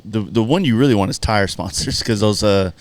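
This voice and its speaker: A man's voice: lowish voice, brisk. A man hosting a podcast, chatting casually in a slangy, laid-back way with some swearing. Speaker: podcast host.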